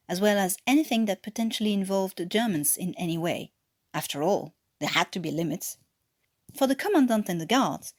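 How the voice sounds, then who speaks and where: A native French-speaking woman with a French-tinged English accent, reading a story aloud as an expressive narrator. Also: medium pitch. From France. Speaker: fan fiction author reading aloud.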